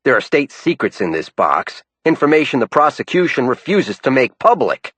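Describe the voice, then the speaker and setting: A male narrator voicing a heavyset older chain-smoker with a New York accent, a parched raspy voice and a brash, wheedling way of bargaining. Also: medium pitch, sing-song, normal speed, a bit bright, no room sound, pitched above the performer's own voice. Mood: insistent.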